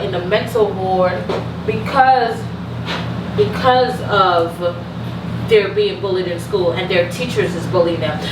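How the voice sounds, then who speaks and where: A woman in her thirties chatting informally in a group, telling things anecdotally and circling back over her words. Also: medium pitch. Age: 30s. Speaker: podcast panelist. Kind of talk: casual group chat. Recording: echoey room, distant mic, clear noise.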